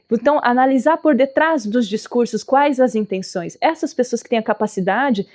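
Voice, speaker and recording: A woman with a somewhat high voice gives an earnest spiritual talk, explaining step by step and leaning on her key words. She speaks as someone whose first language is not Portuguese. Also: a little mellow. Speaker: spiritual teacher. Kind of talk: spoken talk on spirituality and politics.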